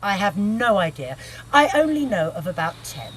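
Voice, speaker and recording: A woman's voice: medium pitch, big pitch swings, normal speed, slight background noise, lightly acted, rough recording. A woman playing a brash, irritable narrator in a comedic book reading, sardonic, bossy and blunt. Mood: musing. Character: brash, irritable sweary woman musing on past lives.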